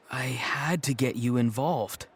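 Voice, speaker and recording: An actor playing an earnest young grandson in a video game, wistful and solemnly overdramatic. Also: male voice, mid-range voice, slow pace, slight background noise, close to an everyday voice. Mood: gloomy. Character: earnest, melodramatic young grandson who loves vegetables. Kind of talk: video game cutscene acting.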